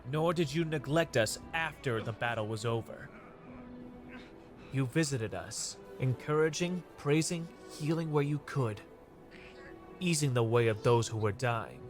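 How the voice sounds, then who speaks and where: A man's voice: mid-range voice, measured pace, soft background music, natural voice. A man playing an earnest, grateful and humbled army officer in a dramatic comic dub, sincere and reflective. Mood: earnest gratitude.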